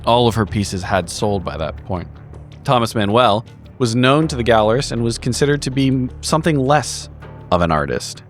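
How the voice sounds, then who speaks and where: A man narrating a recap of a tabletop horror game in measured, literary storytelling language. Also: medium pitch, lively intonation, faint music behind. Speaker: player in a tabletop horror roleplaying show.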